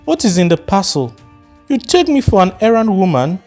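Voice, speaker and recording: A man voicing an indignant middle-aged mother who confronts a stranger with sharp demands. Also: sits high, big pitch swings, normal speed, faint music behind, voice pushed up. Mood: angry. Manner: confrontational, firing questions.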